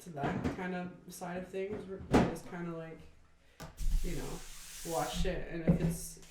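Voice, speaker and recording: A woman's voice: on the low side, fairly even intonation, a little slow, heavy background noise. A woman in her twenties chatting casually as co-host, rambling with many fillers and frequent laughter. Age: 20s. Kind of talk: casual chat. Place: shared house, indoors. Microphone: table microphone. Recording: echoey room, off-mic.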